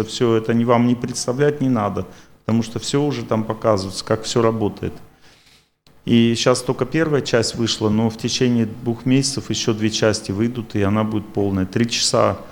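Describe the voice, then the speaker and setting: Self-assured, rambling male lecturer. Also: on the low side. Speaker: lecturer answering audience questions. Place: lecture hall with audience.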